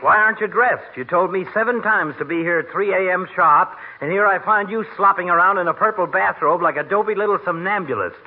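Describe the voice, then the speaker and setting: Sarcastic grumpy doc in 40s radio comedy, highish voice, tinny noisy old recording. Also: male voice, a little fast, hardly any pauses. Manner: caustic, florid insults. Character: grouchy, sarcastic small-town doctor, needling his friend. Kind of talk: 1940s radio comedy acting.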